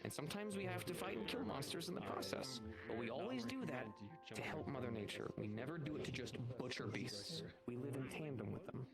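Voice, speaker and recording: A man's voice: medium pitch, lively intonation, a little fast, lightly acted. A man improvising an encouraging veteran monster hunter in virtual reality roleplay, explaining things to a rookie and repeating phrases for emphasis. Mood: encouraging, earnest. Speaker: roleplayer playing a guild instructor. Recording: loud music behind a livestream voice chat. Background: loud music.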